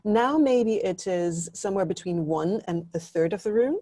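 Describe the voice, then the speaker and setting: A woman's voice: medium pitch, animated, normal speed, mellow, dry recording. A middle-aged woman from Denmark, a native Danish speaker, earnestly explaining her views as an interview guest on a video call. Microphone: earphone headset.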